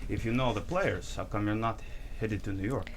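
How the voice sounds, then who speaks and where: An actor playing a young Russian gangster, a smooth refugee with calm, quiet menace, in a screenplay table read. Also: male voice, on the low side, normal speed, a little hiss or hum, close to an everyday voice. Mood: shrewd.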